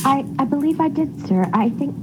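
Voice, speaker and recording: A woman's voice: sits high, lively intonation, moderate pace, music in the background. A woman playing a meek, nervous young servant girl, deferential and hesitant, in a radio play. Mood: nervous, bewildered.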